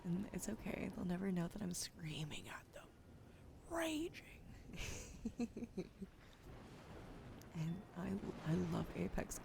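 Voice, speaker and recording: Whispering young woman with a creaky voice. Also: mid-range voice, noisy background. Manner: soft whispered, thanking viewers, swearing casually. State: wheezy throat. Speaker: streamer. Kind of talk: ASMR whispering chat.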